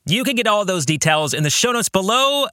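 A man's voice: high-pitched, very animated, fairly quick, sharp tone, no echo, studio quality. A man in his forties hosting a podcast as an author, speaking in an emphatic, conversational monologue. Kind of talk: podcast monologue introducing a book club.

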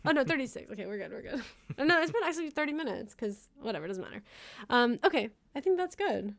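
A woman's voice: high voice, big pitch swings, quick pace, no room sound. A woman hosting a podcast, chatting casually with her guests, laughing often and filling her talk with "like" and "um.". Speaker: podcast host.

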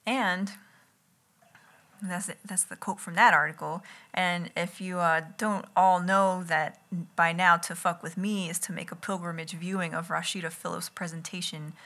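A woman reading her own writing aloud as an ASMR read-along, in a deliberate, literary manner with wry asides, close to the mic in a home kitchen. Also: fairly low pitch, sharp tone. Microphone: close enough to catch breath and lips.

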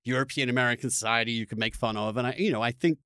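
A man's voice: mid-range voice, very fast, fairly bright tone, dry recording, clean studio sound. A man hosting a podcast, talking in an opinionated, unscripted way, emphatic and often restarting his phrases. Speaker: podcast host.

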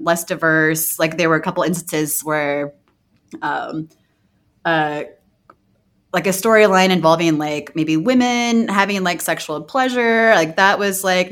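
A woman hosting a casual podcast, talking in an informal, halting way. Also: deep voice, a bit bright. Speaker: podcast host.

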